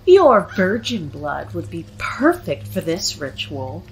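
A bossy teenage girl from a horror audio drama. She drags her words slowly, taunting and eerie. There is some ambient noise behind her voice. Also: on the low side, sing-song, exaggerated voice, low-quality recording. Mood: sly, menacing. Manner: slow, drawn-out, taunting.

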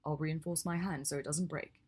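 A woman voicing a reserved young wizard who speaks quietly with dry humor, in a low husky voice. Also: little pitch movement, fast. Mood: teasing.

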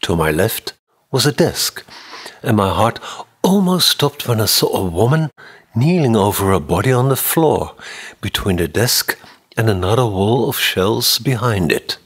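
A man in his sixties with a husky voice, giving a tense, dramatic audiobook reading of a mystery novel close to the microphone. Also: lowish voice, lively intonation, measured pace. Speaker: audiobook narrator. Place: indoor room, office or home studio. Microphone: close condenser microphone on a boom arm.

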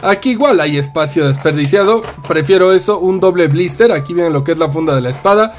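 Mexican man, high voice, frank toy reviewer, light music underneath. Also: brisk. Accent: Mexican Spanish. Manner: chatty, candid and opinionated. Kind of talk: casual unboxing review.

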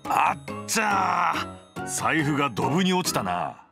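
A man's voice: on the high side, big pitch swings, measured pace, creaky, exaggerated voice. An actor playing an easygoing, amused male friend in an anime comedy, casual and teasing. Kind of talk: Japanese anime comedy voice acting. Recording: music playing behind the voice.

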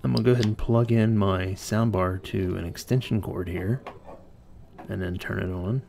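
A man's voice: fairly low pitch, steady tone, normal speed, vocal fry, a little mellow, a little hiss or hum. A man presenting an informal hands-on tech tutorial, explaining plainly step by step.

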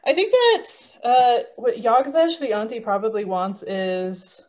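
A woman's voice: fairly high pitch, a little slow, darkish tone. A woman chatting casually on a video call during a tabletop game, hesitant and thinking aloud as she goes. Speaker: player and guest author. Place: indoors, on a group video call.

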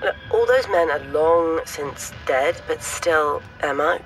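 An actress playing a brisk, cautious woman researcher in an audio drama phone conversation. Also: low-pitched, expressive, music in the background, low-quality recording. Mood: brisk, concerned.

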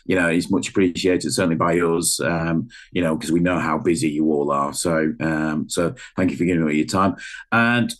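Croaky, very deep British man host. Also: moderate pace. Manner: rambling, many fillers. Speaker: charity host. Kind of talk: introducing an online Q&A. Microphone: video call.

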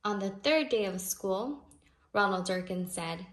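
A woman's voice: medium pitch, lively intonation, measured pace. A woman reading a children's picture book aloud expressively, stretching key phrases with emphasis. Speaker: storybook reader. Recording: noisy home recording with clicks.

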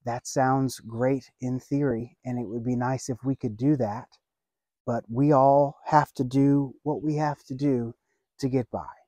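A man in his thirties delivers an earnest outdoor sermon, seated by a lake, speaking slowly with long pauses. His voice is mellow and warm, caught close and dry on a lapel mic. He builds his points in measured phrases. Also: mid-range voice, steady tone, no room sound. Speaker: pastor.

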